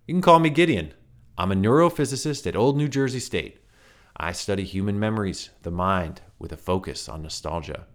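A man voicing a wiry male stranger with a light, reedy voice who talks in a calm, cryptic, faintly menacing way. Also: deep voice, fairly quick. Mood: explaining.

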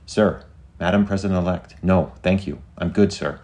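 A man's voice: sits low, normal speed, a little mellow, natural voice. A man playing an earnest, deferential young Secret Service inspector with a formal, polite, urgent manner in a story. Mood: flustered, deferential.

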